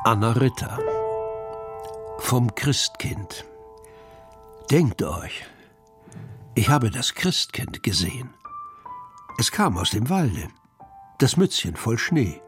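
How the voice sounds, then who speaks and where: A man reads children's Christmas verse at a very unhurried pace. His voice sits at a medium male pitch. Clearly audible music plays behind the recitation. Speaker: poetry reader.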